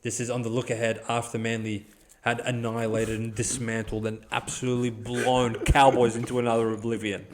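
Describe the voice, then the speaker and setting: A man with an Australian accent hosting a sports podcast, talking in casual, blunt, slangy banter with plenty of swearing. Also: medium pitch.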